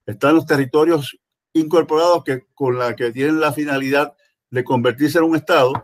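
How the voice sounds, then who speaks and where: A man in his sixties from Puerto Rico with a Puerto Rican accent, speaking earnestly over a video call from a small room, pausing often with fillers. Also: fairly high pitch, fairly even intonation. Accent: Puerto Rican Spanish. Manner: earnest, with many pauses and fillers. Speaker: guest caller. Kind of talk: online video call talk. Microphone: laptop webcam on video call.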